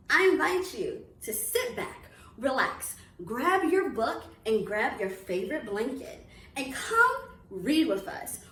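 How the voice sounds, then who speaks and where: A woman speaking as host of a children's reading series, addressing families and narrating a storybook in an expressive, carefully enunciated way. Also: high voice. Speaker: club president hosting a children's reading series. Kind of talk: welcome speech and read-aloud storybook narration for children. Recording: roomy echo, distant mic, background noise.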